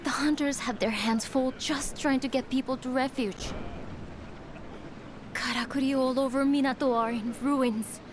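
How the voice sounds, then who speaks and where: A woman's voice: sits high, fairly even intonation, normal speed, background noise, close to an everyday voice. An actress playing an earnest, distressed townswoman in a fantasy video game. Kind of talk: video game cutscene acting.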